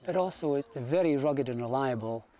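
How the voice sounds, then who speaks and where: A man's voice: mid-range voice, brisk, mellow. A man, an electrical engineer, explaining things plainly in an interview, with a few restarts.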